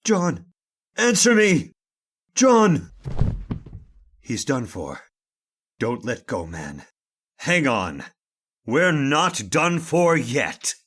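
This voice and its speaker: A man playing an urgent, commanding gentleman master in an old-time radio horror drama. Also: fairly high pitch, wildly swooping pitch, extremely slow, pauses often, a little hiss or hum, exaggerated voice. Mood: frantic. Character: urgent, commanding gentleman trapped with his servant.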